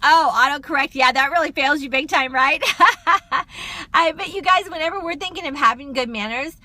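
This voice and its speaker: A middle-aged woman, a counselor chatting loudly and quickly to viewers on a phone livestream. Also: high-pitched, no room sound. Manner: loud, fast, chatty, addresses viewers as y'all. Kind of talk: casual livestream talk to viewers.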